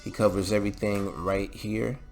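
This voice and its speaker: A man's voice: fairly low pitch, fairly even intonation, moderate pace, creaky, darkish tone, soft background music. A man narrating a casual step-by-step game tutorial, instructing and often checking in with the listener. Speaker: host of a game mod tutorial.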